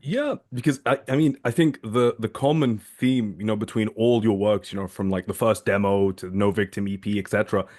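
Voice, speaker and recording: A man's voice: fairly low pitch, brisk, hardly any pauses, a little mellow. A man hosting a casual interview, asking long, rambling, enthusiastic questions full of fillers. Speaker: podcast host.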